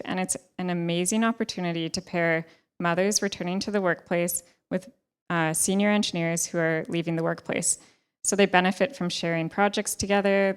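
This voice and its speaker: A young woman gives advice from a panel stage, speaking into a handheld mic in a ballroom. Her voice is smooth and sits a little low, and her delivery is earnest and measured. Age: young adult. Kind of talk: panel discussion talk.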